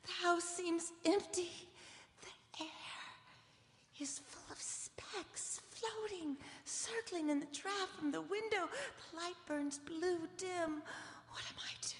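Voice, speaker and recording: Female, doomed young lady, high, slow, tremulous, breathless horror radio melodrama, echoing hall. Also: gravelly, exaggerated voice. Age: young adult. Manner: breathless, tremulous, drawn-out delivery. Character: frail, frightened young lady, tender and doomed. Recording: echoey hall, live stage broadcast.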